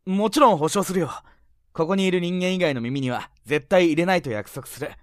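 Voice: medium pitch, fairly quick, dry recording, studio quality, close to an everyday voice. An actor playing a cheerful, confident teenage male club president with a theatrical, friendly manner, in a youth voice drama. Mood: assured. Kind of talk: Japanese youth romantic comedy voice drama.

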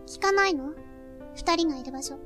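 A woman playing a self-assured, teasing high school girl, an older club member in a voice drama, with a knowing manner. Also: moderate pace, sharp tone, soft background music. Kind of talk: Japanese voice drama acting.

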